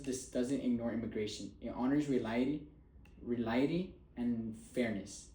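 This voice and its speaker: A man's voice: medium pitch, dark tone, roomy sound, poor audio. A man delivering a prepared persuasive speech as a student, in an earnest and emphatic way.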